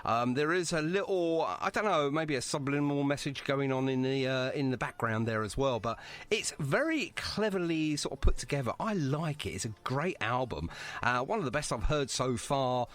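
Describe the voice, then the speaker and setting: A man's voice: mid-range voice, expressive, normal speed, a bit bright. A man hosting a music podcast, chatting casually and asking rambling questions with plenty of affirmation. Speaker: podcast host interviewing a band.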